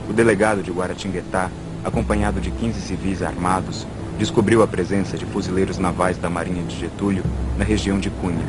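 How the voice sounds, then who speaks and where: A man's voice: low-pitched, heavy background noise. A man with a Brazilian accent delivering scripted documentary narration. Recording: voice over loud music. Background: prominent background music.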